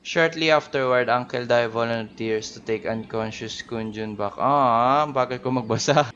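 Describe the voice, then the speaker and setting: A man's voice: medium pitch, normal speed, a bit bright, muffled recording, slight background noise. A man with a Filipino accent in a casual game playthrough, reading dialogue aloud and commenting. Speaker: gaming video creator.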